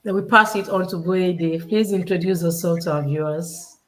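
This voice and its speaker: A woman's voice: lowish voice, normal speed, rough recording. Nigerian woman hosting a motivational livestream. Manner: preachy, repeats key phrases, quotes scripture. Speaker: host. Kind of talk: livestream panel talk, motivational.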